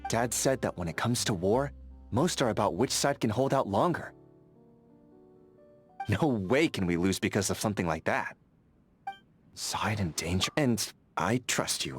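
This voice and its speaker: An actor playing an earnest, vigilant young sentry in a fantasy video game, friendly but overcautious, speaking in a methodical, conversational way. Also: male voice, fairly low pitch, sing-song, normal speed, pauses often, breathy edge, faint music behind. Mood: earnest, cautious. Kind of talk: video game character dialogue.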